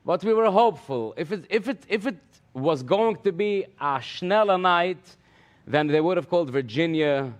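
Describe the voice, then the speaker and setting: A man's voice: high voice, expressive, normal speed. A man with a Yiddish accent, Yiddish his first language, hosting live election coverage in casual English sprinkled with Yiddish words. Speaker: election night host.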